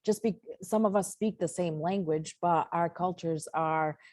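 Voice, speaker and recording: A woman whose first language is Portuguese, giving an earnest, hesitant talk in a webinar with frequent pauses. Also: fairly low pitch, darkish tone, dry recording, clean studio sound. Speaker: webinar presenter. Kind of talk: personal story in an online webinar.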